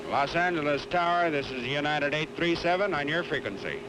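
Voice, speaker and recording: A man's voice: a little high, lively intonation, fairly quick, lightly acted. A man playing a businesslike airline pilot, giving clipped, unemotional radio calls in an old film. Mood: businesslike, calm. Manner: procedural, unemotional. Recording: very noisy old film, engine drone.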